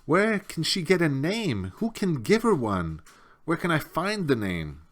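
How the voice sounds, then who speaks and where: A man's voice: on the high side, huge pitch swings, unhurried, pitched above the performer's own voice. A man voicing a desperate, insistent young boy hero in a storybook, crying out urgently. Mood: desperate, pleading.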